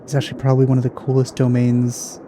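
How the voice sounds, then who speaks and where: Casting: low-key male gamer, very dark relaxed tone, flat intonation, offhand commentary. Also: fast talker, slight background noise. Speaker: let's player. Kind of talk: casual gameplay commentary.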